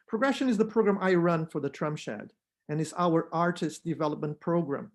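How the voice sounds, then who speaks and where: A man whose native language is Brazilian Portuguese, introducing an online arts event as its producer and host, talking in an earnest, welcoming way. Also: on the high side.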